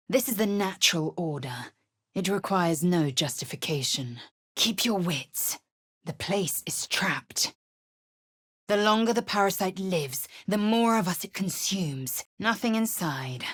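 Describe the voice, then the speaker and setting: A stern, husky alien warrior woman. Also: lowish voice, a bit bright, full character voice. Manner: clipped, commanding, formal and scornful. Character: proud, stern githyanki warrior woman, young adult. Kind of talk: video game character dialogue.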